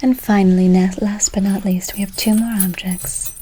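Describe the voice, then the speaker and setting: A woman playing a doting, whimsical forest witch in an ASMR roleplay, cooing endearments and gently reassuring her listener. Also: mid-range voice, steady tone, normal speed, very noisy, lightly acted. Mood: whimsical, gentle.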